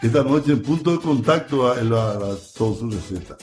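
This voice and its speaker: A man hosting a radio show in casual chat, relaxed and rambling, full of fillers and restarts. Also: medium pitch, fairly quick, soft background music.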